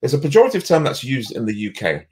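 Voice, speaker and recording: A middle-aged man with a deep, resonant voice, talking emphatically as a guest on a video call from a home office. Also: quick pace. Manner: animated, emphatic storyteller. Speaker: guest, leadership coach.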